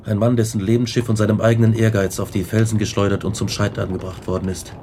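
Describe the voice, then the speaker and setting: An actor playing a despairing, guilt-ridden, exhausted man who hunts a monster, speaking gravely and wearily in a radio drama. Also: fairly low pitch, little pitch movement, quick pace, warm tone, slight background noise, natural voice. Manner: grave, weary, anguished.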